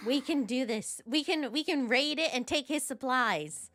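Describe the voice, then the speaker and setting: A woman's voice: high voice, normal speed, a bit bright. A woman giving casual, chatty live commentary on a game playthrough in a playful, giggly manner. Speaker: game streamer.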